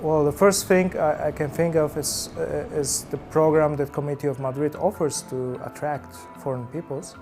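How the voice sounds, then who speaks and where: Polish man, halting research interview. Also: a little high, steady tone, a little mellow, faint music behind. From Poland. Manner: halting, with repeated starts and fillers. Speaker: research group leader. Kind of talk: interview answers in English.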